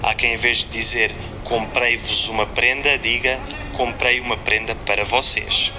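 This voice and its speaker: A man with a European Portuguese accent, explaining grammar in measured, scripted narration as a language teacher. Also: mid-range voice, flat delivery. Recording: played-back clip with noisy background hum.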